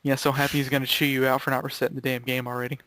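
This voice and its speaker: Pria santai, suara kering, bercanda soal gim. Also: male voice, medium pitch, flat delivery, very fast, a bit bright, no room sound. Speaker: gaming video maker in a group. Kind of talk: casual joking group chat about video games.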